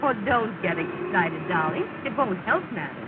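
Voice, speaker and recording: A woman plays a composed wife in an early talkie, calming her husband with a gentle voice that rises and falls. The sound is thin and phone-like, with steady hiss. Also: on the low side, normal speed, close to an everyday voice. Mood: soothing. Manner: gentle and soothing. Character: calm, soothing well-to-do wife. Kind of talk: 1930s film acting. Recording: tinny, hissy 1930 film soundtrack.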